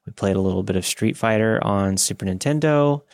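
A man's voice: fairly low pitch, brisk, dry recording, studio quality. A man co-hosting a podcast, chatting casually and telling personal anecdotes with chatty enthusiasm. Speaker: podcast co-host.

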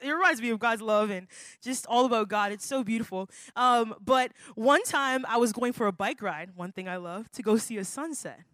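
A young adult woman pastor giving an energetic, playful youth workshop talk, slangy and laughing often. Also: a little high, no echo. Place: conference room with youth audience.

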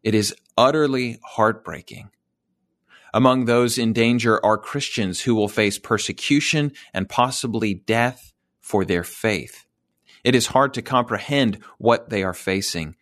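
A man hosting an interview podcast, speaking in an earnest, measured way. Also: mid-range voice, fairly bright tone, no echo, studio quality. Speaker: host.

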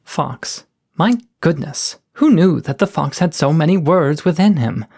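A man voicing a playful, flirtatious poet coyote of low station, reading florid love letters in a lyrical, teasing way. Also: expressive, unhurried, gravelly, darkish tone, full character voice. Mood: amused teasing.

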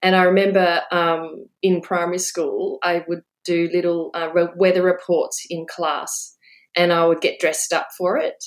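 Chatty woman, lower voice. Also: level tone, measured pace. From Australia. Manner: warm, chatty, laughs often, many fillers. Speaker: guest, business owner. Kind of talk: casual interview storytelling.

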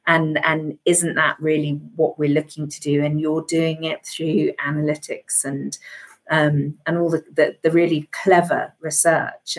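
A woman's voice: low voice, rough recording. A woman co-host asking a long, enthusiastic question with many restarts. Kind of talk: podcast interview question.

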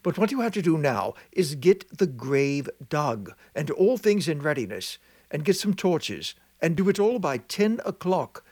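A man voicing a brisk and impatient country clergyman. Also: fairly high pitch, very animated, darkish tone, dry recording, voice pushed up.

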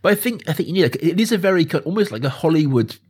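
Smooth-voiced man in his thirties, enthusiastic. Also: a little high, expressive, very fast, darkish tone. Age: 30s. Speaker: film podcast host. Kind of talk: casual film discussion.

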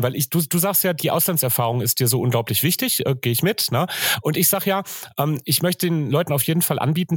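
A young man brainstorming in a casual chat, thinking aloud haltingly and often adding tag questions. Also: on the high side, dry recording, studio quality.

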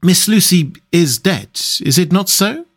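A man's voice: a little high, lively intonation, measured pace, a bit bright, pitched above the performer's own voice. A man voicing a grave, stern but compassionate old professor in an audiobook, speaking in solemn, earnest, formal phrases. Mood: grave, measured. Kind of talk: dramatic audiobook character reading.

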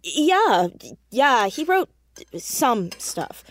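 An anxious fourteen-year-old girl sleuth in naturalistic audio drama. The voice is high and very animated, yet slow to get sentences out. She stammers defensively and restarts, easily flustered. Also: full character voice. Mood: nervous, awkward.

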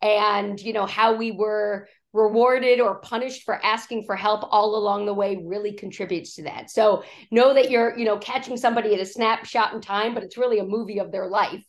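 A woman executive coach, hoarse from a cough, talking in a podcast interview and acting out quoted speech as she tells stories. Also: medium pitch, normal speed, few pauses. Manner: animated storytelling, acts out quoted speech.

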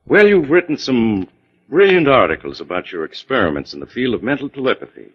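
A man's voice: mid-range voice, very animated, brisk, husky. A man acting in 1940s radio style as a glib, dandyish promoter with an ingratiating, scheming manner. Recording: dull vintage 1940s radio recording.

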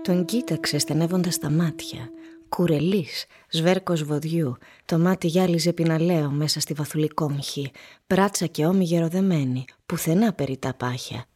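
A woman narrating a literary radio play with measured, dramatic storytelling. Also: sits low, dark tone, slightly rough.

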